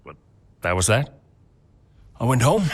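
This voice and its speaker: A man's voice: mid-range voice, sing-song, measured pace, husky. An actor playing a retired racing driver and father, speaking candidly and hesitantly, as if interviewed in a game cutscene. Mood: subdued, reluctant.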